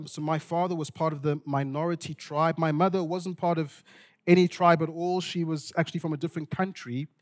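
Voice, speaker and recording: High-voiced man preaching. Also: darkish tone. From Zimbabwe. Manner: dramatic, deliberate phrasing with repetition for emphasis. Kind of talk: expository sermon with storytelling.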